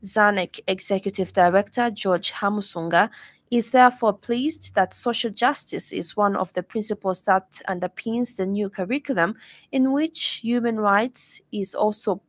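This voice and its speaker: A woman's voice: medium pitch, normal speed, no room sound. A woman with a Zambian accent reading a scripted radio news bulletin in a formal manner. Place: radio studio.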